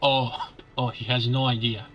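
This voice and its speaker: A man reacting live as a game streamer, casual and excitable, halting and repeating his words. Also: mid-range voice, muffled recording, over music.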